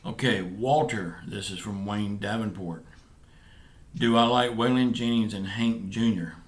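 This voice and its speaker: A man with a Southern US accent, casually answering viewer questions as a vlog co-host, easygoing and a bit rambling. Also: lowish voice. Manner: easygoing, rambling, halting with fillers. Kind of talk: casual chat.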